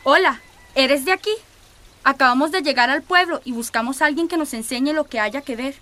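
An actress playing a cheeky, playful young migrant in a radio drama. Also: female voice, high-pitched, a little fast, bright, forceful tone.

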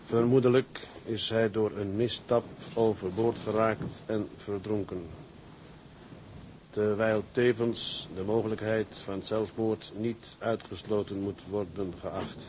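A man's voice: on the low side, darkish tone. A man acting a brooding, plain-spoken ship's captain, tender toward his wife, in a radio drama. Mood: formal, slow. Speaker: actor. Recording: noisy radio play with background ambience.

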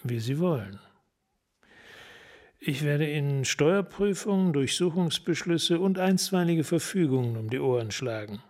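Bullying, cynical cop for radio crime drama; fairly high pitch, clipped blunt delivery. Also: male voice, expressive, a little slow, darkish tone. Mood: menacing. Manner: blunt, terse, hard-boiled. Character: tough, bullying, cynical male American police detective.

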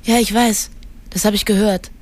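A woman's voice: medium pitch, steady tone, normal speed, a little mellow, natural voice. An actress playing a young woman in a radio drama, questioning and natural in her delivery. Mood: inquisitive. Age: young adult. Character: inquisitive young woman returning to her hometown.

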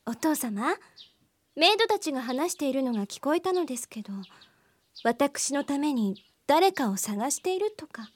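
Sheltered rich daughter, polite, innocent, high animated voice. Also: female voice, normal speed. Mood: innocent, curious. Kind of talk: radio drama acting.

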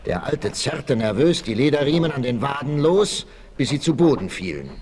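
A man's voice: medium pitch, big pitch swings, normal speed, crowd noise. A man giving scripted dramatic narration in the manner of a 1960s radio play.

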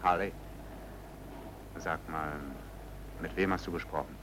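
A man's voice: deep voice, steady tone, measured pace, noisy background. A male actor playing a jovial, cynical racketeer in hiding in a German drama, breezy and dismissive in manner. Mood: dismissive, wary. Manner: breezy, dismissive, sighing and chuckling. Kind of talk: German radio drama or film-dub acting.

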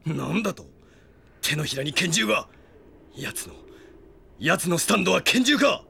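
An actor playing a brash, hot-headed, proud swordsman in an anime audio drama, with rough, defiant speech that bursts into shouts. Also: high-pitched, animated, a little slow, a little hiss or hum, full character voice. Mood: combative.

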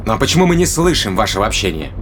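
A man's voice: lowish voice, sing-song, rapid-fire, background noise. An actor playing a blunt, impatient male interrogator in an audio drama, probing and demanding in colloquial speech. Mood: insistent, puzzled.

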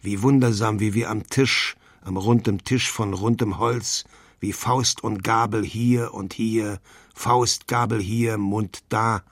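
Guy reciting nonsense verse real slow, lowish voice, very dry sound. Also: steady tone, no room sound. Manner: deliberate, drawn-out. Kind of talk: poetry recitation with playful repetition.

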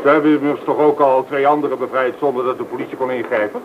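Radio drama passenger, male actor: quick, argumentative, defeatist, on a dull old recording. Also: medium pitch, steady tone, natural voice. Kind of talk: radio drama acting in Dutch. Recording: muffled, very noisy old radio play recording.

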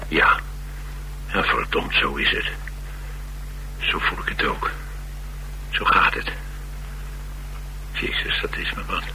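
A man's voice: extremely low pitch, expressive, takes it slowly, vocal fry, pauses often, sharp tone, exaggerated voice, poor audio. A man with a Netherlands Dutch accent playing a blunt, world-weary chauffeur in a radio drama, confiding and sardonic. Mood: astonished, confiding. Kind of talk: radio drama acting, colloquial storytelling. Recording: radio drama with faint hum and noise.

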